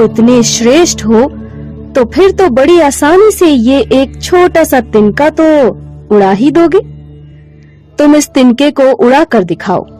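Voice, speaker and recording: A woman's voice: high voice, mellow. A woman playing a goddess in the form of a blazing light, composed and taunting as she challenges proud gods in a devotional story. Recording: music behind the voice.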